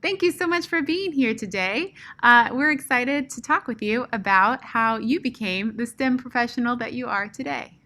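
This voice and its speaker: A woman's voice: fairly high pitch, moderate pace, sharp tone. A woman hosting an online webinar, giving an upbeat, announcing introduction with "uh" fillers. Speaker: host. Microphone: video call.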